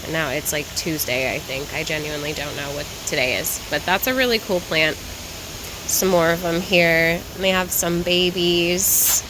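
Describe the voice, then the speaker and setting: A chatty woman talks through her walk around a garden center, naming plants and prices as she browses. She sounds worn out and slightly raspy from too little sleep. A steady hiss sits under her voice on the handheld recording. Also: lowish voice. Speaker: plant vlogger. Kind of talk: casual walk-and-talk vlog. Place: garden center shop floor.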